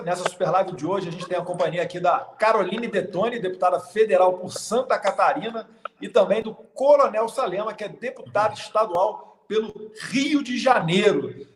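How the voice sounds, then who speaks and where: A man's voice: high voice, normal speed, roomy sound, slight background noise. A man hosting a political livestream, talking informally and straight to his viewers. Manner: informal, direct, addresses viewers. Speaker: host.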